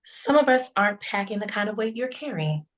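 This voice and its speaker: A woman's voice: medium pitch, animated, a little fast, natural voice. A woman playing a petite, prickly young dancer with a curt manner in a script table read. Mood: hostile. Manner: curt, snippy.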